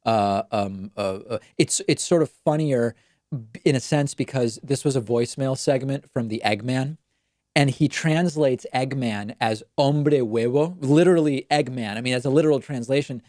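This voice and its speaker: A man's voice: medium pitch, moderate pace, darkish tone, no room sound, clean studio sound. A man from Argentina whose first language is Spanish, hosting a political talk show and speaking conversationally, thinking aloud. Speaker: host.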